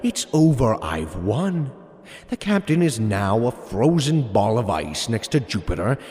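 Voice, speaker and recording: A man's voice: medium pitch, very animated, unhurried, a little mellow. A man voicing a smug, theatrical supervillain mastermind with mock politeness in an audio superhero comedy. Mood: smug, gloating. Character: gloating comic-book supervillain mastermind, male, smug and theatrical. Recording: reverberant hall sound with music.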